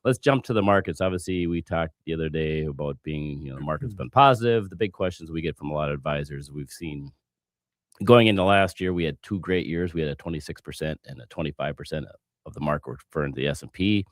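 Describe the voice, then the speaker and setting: A man hosting a casual finance podcast, hoarse from a cough, relaxed and jokey. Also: a bass voice, fast talker, no echo. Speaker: podcast host.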